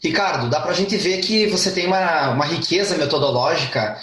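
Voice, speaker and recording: A man's voice: on the high side, fairly quick, a bit bright. Brazilian man host in a roomy space. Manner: praising, naming teaching methods. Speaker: podcast co-host. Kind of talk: interview questions. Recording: roomy echo.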